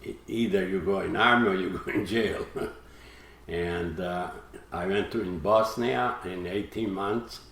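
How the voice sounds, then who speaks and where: Older Croatian-accented man, deep and slow, halting broken English, guest storyteller, echoey room. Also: fairly bright tone. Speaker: guest, retired fisherman. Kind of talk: interview.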